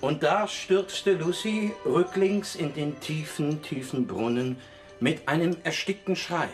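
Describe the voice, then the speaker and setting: Slow, melodramatic amateur author. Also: male voice, on the high side, faint music behind. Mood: solemn. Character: male amateur crime author solemnly reading his melodrama. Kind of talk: German crime radio play acting.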